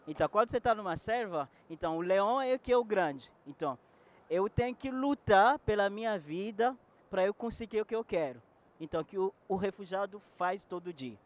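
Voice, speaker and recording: French-native man with a high voice, earnest panel guest on a handheld mic. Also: lively intonation, a little fast. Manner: earnest, searching for words. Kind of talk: casual talk at a public panel. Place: large indoor event hall.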